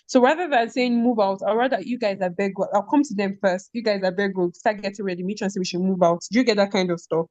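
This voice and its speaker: Young nigerian woman telling school stories on a podcast, animated, laughs a lot, dry slightly muffled mic. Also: medium pitch, fast, few pauses, no room sound. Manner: animated storytelling, with frequent laughter and "do you get?". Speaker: podcast guest, former head girl. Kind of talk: casual conversational interview.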